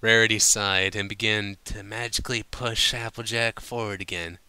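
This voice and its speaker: A man reading a story aloud as an amateur narrator, in an animated, informal manner. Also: on the low side, moderate pace, clear, resonant tone, no echo. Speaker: narrator, fan fiction reader. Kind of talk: amateur audiobook reading with casual asides.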